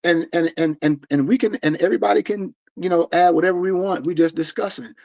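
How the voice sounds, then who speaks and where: American man, forties, preachy live discussion host, fairly high voice, dull stream audio. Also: steady tone, brisk, creaky, darkish tone. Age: 40s. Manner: preachy and emphatic, many restarts. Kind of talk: livestream discussion.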